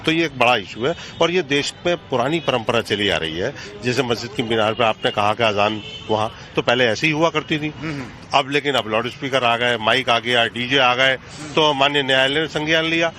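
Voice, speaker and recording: Casting: male politician, medium pitch, forceful edge, unscripted interview answer. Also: normal speed.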